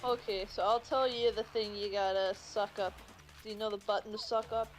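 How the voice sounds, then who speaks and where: A woman's voice: mid-range voice, little pitch movement, normal speed, rough recording. A woman doing informal gameplay commentary as a co-host, explaining things casually. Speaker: let's-play co-host. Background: game music.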